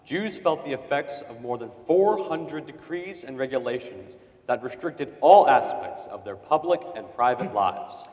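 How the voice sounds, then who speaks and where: A man's voice: medium pitch, lively intonation, unhurried, darkish tone, close to an everyday voice. A man acting as a sober witness in a staged reading of testimony, plain and earnest. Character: sober male witness recalling persecution in his childhood. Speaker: stage reader in a dramatic reading. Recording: echoey hall with long reverb.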